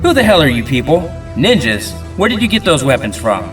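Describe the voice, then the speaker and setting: A man's voice: a little high, very animated, a little fast, distant mic. A man playing a flustered, bossy city cop in an audio drama, speaking with urgency. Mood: alarmed. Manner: urgent, exclaiming. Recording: off-mic under clear music.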